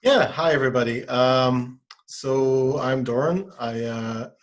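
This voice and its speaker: A man with an Israeli accent introducing himself as a guest on a video call, in an animated, halting way. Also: mid-range voice. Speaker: guest, author and animation director. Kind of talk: casual self-introduction.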